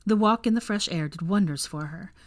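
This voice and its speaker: A woman's voice: mid-range voice, fairly quick, no room sound, studio quality. A woman reading a story aloud as scripted audiobook narration. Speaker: fan fiction audiobook narrator.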